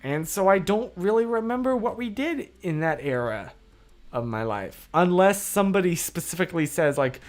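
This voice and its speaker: A hoarse young man hosting a livestream, chatting casually and rambling off topic with easy laughs. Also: high-pitched, expressive, normal speed. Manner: rambling, loses his train of thought; laughs easily. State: hoarse, voice worn out. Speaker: livestream host. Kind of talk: casual livestream chat, answering comments.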